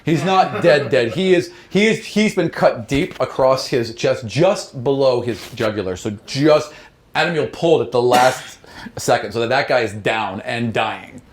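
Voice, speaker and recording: A man's voice: mid-range voice, unhurried. A man narrating a tabletop game as game master, switching between vivid storytelling and casual rulings. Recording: roomy echo, faint background noise.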